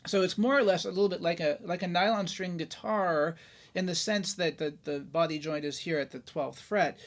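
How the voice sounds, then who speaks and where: A man's voice: high voice, fairly even intonation, normal speed. A man hosting a guitar show, talking casually and enthusiastically in a chatty, informal way about gear. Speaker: host of a guitar review show. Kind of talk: casual gear talk and demonstration.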